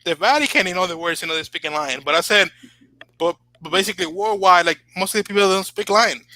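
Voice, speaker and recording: A man whose first language is Spanish, chatting casually as the host of a talk show, rambling with many fillers and rising to animated emphasis. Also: high-pitched, fast. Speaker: channel host. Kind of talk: casual podcast chat.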